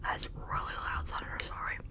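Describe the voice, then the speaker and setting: A person speaking in a breathy, unvoiced whisper, rambling in a confiding, personal way for an ASMR video. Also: moderate pace.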